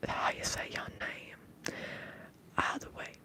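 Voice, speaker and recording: A woman's voice: takes it slowly, pushed and bright. Whispering woman with affected Southern American accent. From upper Midwest, United States. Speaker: livestream roleplay host. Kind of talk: whispered ASMR bartender roleplay and chat.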